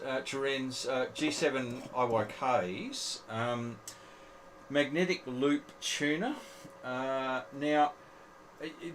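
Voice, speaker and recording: Man presenting, noticeable background noise. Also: medium pitch. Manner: repeats words and phrases. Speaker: host of an amateur radio club presentation. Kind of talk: informal live technical show-and-tell.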